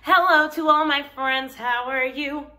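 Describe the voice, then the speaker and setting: A woman with a fairly high voice leads a story time for small children. She speaks straight to her young listeners, keeping her wording simple and checking in with them as she explains and reads. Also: unhurried, bright, forceful tone. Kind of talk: children's story time, talking and reading aloud to young viewers.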